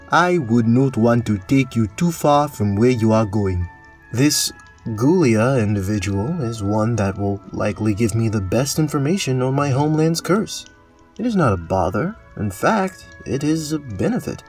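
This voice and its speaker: A man's voice: medium pitch, sing-song, normal speed, a little mellow, faint music behind, lightly acted. Proud courtly prince with a swooping voice, acted by a man. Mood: polite, eager. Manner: formal, courtly phrasing without casual slang. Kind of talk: audio drama fantasy acting.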